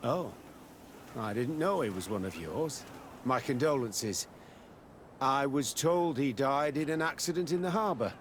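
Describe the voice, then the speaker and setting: An actor playing a curt, evasive military captain who runs a training camp in a video game. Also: male voice, mid-range voice, expressive, a little slow, slight background noise, natural voice. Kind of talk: video game cutscene acting.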